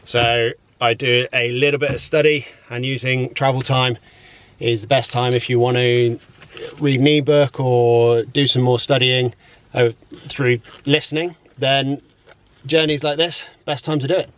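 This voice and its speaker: A man, a personal trainer talking through his day in a relaxed, rambling vlog with frequent ums. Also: medium pitch, unhurried. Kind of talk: casual vlog narration. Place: home, car and outdoor walk.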